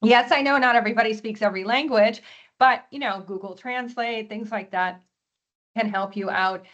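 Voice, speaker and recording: A hoarse woman giving a webinar presentation over a video call, often clearing her throat and using many fillers. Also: on the high side, fairly even intonation, moderate pace, a bit bright, lo-fi sound. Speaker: export presenter.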